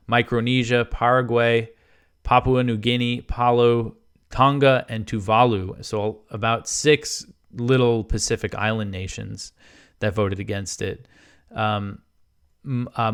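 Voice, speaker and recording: A man hosting a news podcast, reading reports in an informal way with halting off-the-cuff asides. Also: lowish voice, clean tone.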